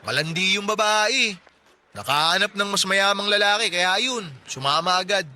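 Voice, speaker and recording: A chatty young neighbor. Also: male voice, sits high, normal speed, pushed and bright. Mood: friendly chatty. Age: 20s. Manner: chatty, joking, helpful. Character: friendly chatty young working-class neighbor man. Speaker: neighbor in a radio drama. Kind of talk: Tagalog radio drama acting.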